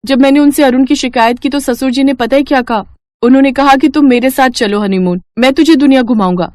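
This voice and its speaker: A woman's voice: high voice, quick pace, a little mellow, no room sound, clean studio sound, lightly acted. A woman voicing a naive young bride in a cartoon, earnest and flustered. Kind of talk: cartoon dubbing.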